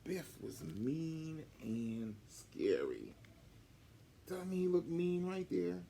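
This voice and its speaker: A man reading a picture book aloud to children in an animated way, with chatty asides. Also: fairly high pitch, very slow, creaky, slight background noise. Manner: chatty asides to young listeners.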